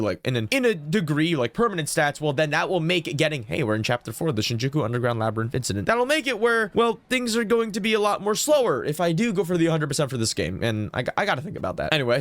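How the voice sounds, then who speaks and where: Fast-talking, slightly raspy gamer guy. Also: mid-range voice, dry recording. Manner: rambling and excitable, often correcting himself. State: slightly raspy from just waking up. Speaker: let's play gamer. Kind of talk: casual gameplay commentary.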